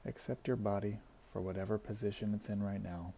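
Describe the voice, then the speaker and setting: A man with a deep, mellow voice guides a meditation. He gives soothing, gentle instructions and leaves deliberate pauses between phrases. His tone has a noticeable fry, and there is faint noise in the recording. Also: fairly even intonation. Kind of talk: guided body scan meditation.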